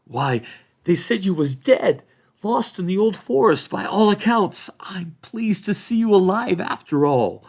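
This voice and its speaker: A man's voice: sits high, very animated, a little slow, a little mellow, voice pushed up, exaggerated voice. A man voicing an old, deferential hobbit gatekeeper with folksy rural speech. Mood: surprised. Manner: folksy rural grammar, apologetic.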